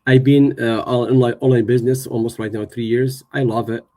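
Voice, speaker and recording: Guest speaker: man, middle-aged, Moroccan accent, dark mellow tone, halting testimonial delivery. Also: medium pitch, little pitch movement. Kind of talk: personal testimonial on a livestream.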